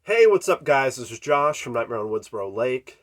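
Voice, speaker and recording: A man's voice: mid-range voice, sing-song, fairly quick. A congested man hosting a horror channel, giving an unscripted movie review with many fillers and restarts. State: congested from a cold.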